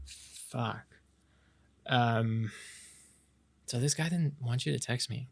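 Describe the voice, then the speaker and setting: Male actor as boyish best-friend love interest for romantic ASMR roleplay; French Canadian accent, medium pitch, casual, playful, halting delivery. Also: gravelly. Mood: hurt, indignant. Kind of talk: intimate romantic ASMR roleplay, improvised-sounding casual chat.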